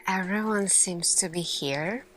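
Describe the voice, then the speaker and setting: A woman playing an earnest lead scientist in an ASMR roleplay, speaking deliberately in short phrases with dramatic pauses. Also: fairly low pitch, a bit bright, slight background noise, low-quality recording. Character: earnest female lead scientist addressing her team. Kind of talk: slow ASMR roleplay monologue.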